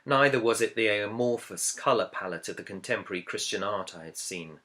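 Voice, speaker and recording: A man, an author, reading his own book introduction as scripted narration in a measured, earnest, reflective way. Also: on the low side, sharp tone. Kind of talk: scripted audiobook-style narration.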